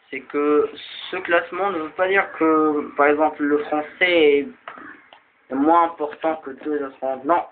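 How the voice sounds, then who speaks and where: A man whose first language is Arabic gives a casual explanatory talk for a video. He speaks hesitantly, with many restarts and fillers. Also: fairly high pitch. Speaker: video presenter. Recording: tinny lo-fi phone-like sound with faint noise.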